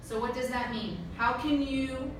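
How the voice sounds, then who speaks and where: A woman's voice: high voice, a little slow. A woman teaching a class, asking her listeners questions and checking with them often. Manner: asks the audience questions and checks with "right?". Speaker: teacher giving a talk to language students. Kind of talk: interactive classroom talk. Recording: echoey room, distant mic, noise.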